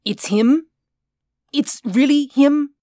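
A man's voice: extremely high pitch, slow pace, warm tone, dry recording, studio quality, pitched above the performer's own voice. A man voicing an agitated, demanding security chief in an audiobook story. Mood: agitated, urgent. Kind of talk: audiobook character dialogue.

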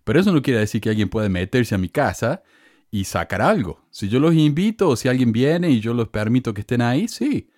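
A middle-aged man with a Cordoba Argentine accent speaks in a dry, close-miked sound. His pitch swings widely as he gets sarcastic and indignant, with sharp emphatic peaks. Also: very animated, a little fast, no echo. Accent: Cordoba Argentine Spanish. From Córdoba, Argentina. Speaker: channel host. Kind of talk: casual monologue to camera.

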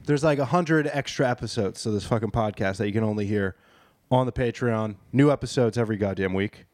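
A man co-hosting a podcast, casually plugging the show with loud, profane energy. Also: fairly low pitch, brisk, dry recording, clean studio sound. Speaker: podcast co-host. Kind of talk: crude casual podcast banter.

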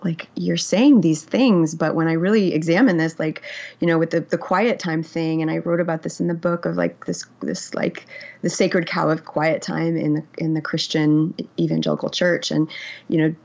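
A woman's voice: low-pitched, steady tone, normal speed, gravelly, darkish tone. A woman in her forties, a memoir author, talking candidly in an interview, reflective and hesitant with many fillers and restarts. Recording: dull, lo-fi audio.

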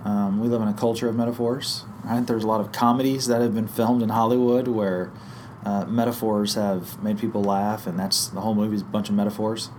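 Guest, male, lower voice, hoarse and croaky from a cold, loose thinking-aloud explainer, faint room sound. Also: flat delivery, brisk, darkish tone, a little hiss or hum. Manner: thinks aloud with fillers and restarts. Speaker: guest teacher on small group ministry. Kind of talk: conversational podcast explanation.

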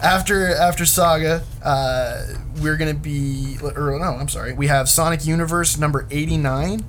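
Guy hosting a comics podcast, kinda higher voice, upbeat and informal, bit of noise behind him. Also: steady tone, normal speed, a bit bright. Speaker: podcast host. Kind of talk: casual comic book discussion.

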